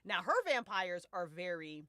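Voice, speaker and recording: Woman, fiction podcast creator, bright and punchy, casual discussion style, recorded dry. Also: on the low side, big pitch swings, a little slow, dry recording. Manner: emphatic, informal, swearing.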